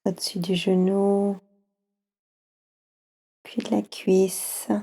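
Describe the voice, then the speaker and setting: Slow, soothing woman guiding relaxation. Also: medium pitch, level tone. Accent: French. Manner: soft, slow, soothing, with pauses. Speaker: yoga nidra guide for children.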